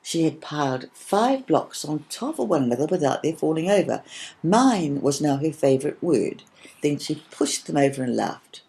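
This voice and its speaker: Low, mellow woman reading a children's story. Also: animated, normal speed, vocal fry. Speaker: storybook narrator. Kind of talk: scripted children's story narration.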